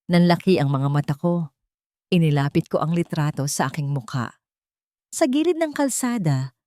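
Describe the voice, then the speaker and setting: A woman's voice: fairly low pitch, mellow, no echo, studio quality. A woman narrating a scripted dramatic story in the first person. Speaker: narrator of romantic drama stories.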